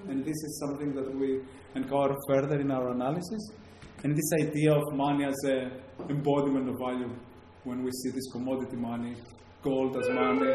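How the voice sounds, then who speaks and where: A man with a Greek accent lectures to an audience, pausing often on fillers and restarting his sentences. His voice is mellow and dark. It rings out in a very echoey room over a faint hum of background noise. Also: medium pitch. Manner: hesitant, many fillers and restarts. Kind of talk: academic talk to a live audience.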